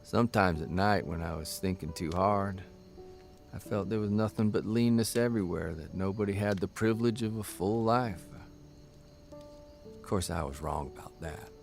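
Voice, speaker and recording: An actor playing a weary, brooding newspaper columnist on the road in a radio drama. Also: male voice, moderate pace. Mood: somber, reflective. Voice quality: gravelly, low. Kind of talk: radio drama narration and dialogue. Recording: music underneath.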